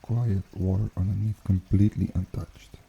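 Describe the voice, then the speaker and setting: A man hosting an ASMR guided meditation in a hushed, unhurried, soothing way with gentle pauses. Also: sits low, flat delivery, gravelly, husky, muffled recording. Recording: water trickling behind the voice. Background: pond water bubbling and trickling.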